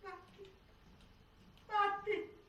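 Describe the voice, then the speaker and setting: A defiant, despairing woman militant in prison declaims on stage. Her voice is high and slow, ringing far from the mic in a very echoey space. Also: level tone, pushed and bright, slight background noise, full character voice. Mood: furious. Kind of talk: theatrical monologue.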